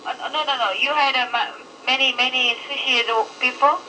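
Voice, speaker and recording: A restaurant worker with a non-native English accent, speaking in halting, confused, polite fragments during an unscripted phone conversation. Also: sits high, fairly even intonation, pushed and bright. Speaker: restaurant worker taking a customer call. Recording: distant, noisy telephone line.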